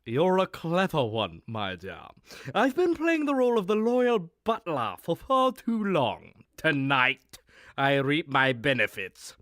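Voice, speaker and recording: An actor playing a scheming, condescending villain butler in a comedic suspense audio drama. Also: male voice, a little high, big pitch swings, takes it slowly, a bit bright, dry recording, clean studio sound, full character voice. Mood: gloating.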